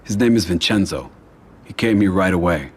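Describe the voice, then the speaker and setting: An actor playing a calm, cold mafia boss in a game cutscene, speaking in a measured, controlled way. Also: male voice. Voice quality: low, quiet and husky. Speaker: crime family head. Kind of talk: video game cutscene acting.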